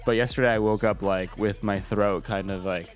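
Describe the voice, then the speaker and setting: A man's voice: lowish voice, fairly even intonation, moderate pace. Stuffy-nosed man streamer chatting, cursing. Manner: profane, joking, rambling. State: congested, slightly stuffy. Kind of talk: casual livestream chat. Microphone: desk microphone. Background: faint music.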